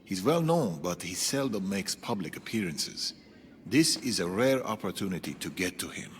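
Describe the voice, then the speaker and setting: An actor playing a stern, calculating rebel strategist in a video game, speaking in a measured, commanding way. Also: male voice, low voice, big pitch swings, slight background noise. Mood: stern, purposeful. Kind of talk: video game cutscene acting. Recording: long reverberant hall, music behind.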